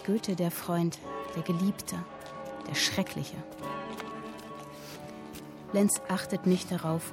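An actress playing a captive young woman who recites a dark literary tale in a solemn, measured manner for a radio play. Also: on the low side, natural voice. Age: young adult. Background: music and typewriter.

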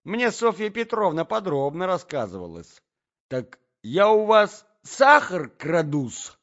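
Man voicing a shrill, indignant provincial gentlewoman. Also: sing-song, muffled recording, full character voice. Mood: wounded indignation. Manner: shrill, servile old-fashioned speech tics, drawn-out retorts. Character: indignant shrieking provincial gentlewoman, offended and vindictive.

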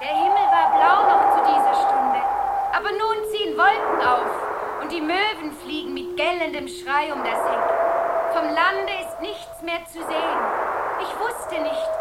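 A woman's voice: extremely high pitch, measured pace. An actress playing a dreamy, yearning young woman in a 1970s radio drama, speaking in a rapt, incantatory way. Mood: haunted. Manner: rapturous, incantatory. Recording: loud tape hiss.

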